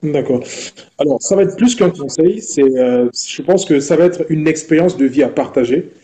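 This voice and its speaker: A man in his thirties from Gabon answers interview questions. His voice is very dark and mellow. He speaks in a measured way and often pauses to hesitate. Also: on the high side. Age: 30s. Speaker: entrepreneur guest.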